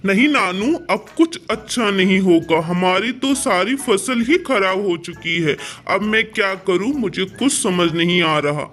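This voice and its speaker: A man's voice: sits high, a little slow, few pauses, a bit bright. A man playing a humble, worried pigeon father and farmer in a cartoon, earnest and pleading. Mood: distressed. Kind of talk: cartoon character acting.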